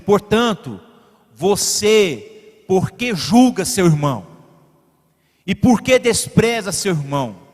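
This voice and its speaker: A pastor from Brazil preaching in his church, his high voice carried by a long reverb through the sanctuary. He presses his points with rising emphasis, then drops to quiet, conversational asides. Now and then he calls instructions to the man running the slides. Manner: directs the slide operator mid-sermon. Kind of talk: sermon.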